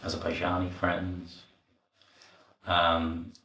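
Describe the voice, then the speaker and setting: Low-voiced man chatting in an echoey space. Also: monotone, takes it slowly, creaky, background noise. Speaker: interviewee in a documentary. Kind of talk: casual interview chat. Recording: echoey, distant microphone.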